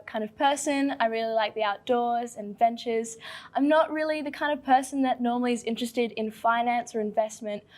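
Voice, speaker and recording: Upbeat young woman, higher voice. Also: a little mellow. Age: 20s. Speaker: law student and panel host. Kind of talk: scripted intro and casual self-introduction.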